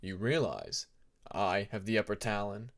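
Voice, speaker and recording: A man's voice: lowish voice, sing-song, unhurried, pitched below the performer's own voice. A man voicing a proud, menacing male dragon with a taunting edge in an audiobook story. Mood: threatening. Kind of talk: fan fiction audiobook character voice.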